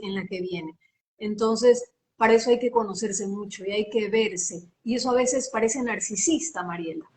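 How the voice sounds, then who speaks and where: Woman, voice-over artist, slightly high voice with a light sing-song lilt, emphatic and teacherly, heard over a video call. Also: low-quality recording. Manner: emphatic, teacherly, repeats phrases. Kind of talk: live interview, giving advice.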